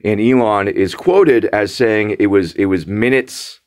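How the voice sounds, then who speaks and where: Young man, lowish voice, vlog rant: exasperated, mocking, stop-start delivery with lots of repetition. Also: unhurried, smooth. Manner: exasperated, mocking, repeats words for emphasis; frequent restarts and fillers. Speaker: vlogger. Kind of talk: casual rant to camera.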